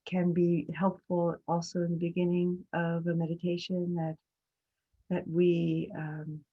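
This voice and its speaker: A woman leading a guided meditation as a teacher, speaking gently and hesitantly with long pauses, on muffled online call audio. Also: fairly low pitch.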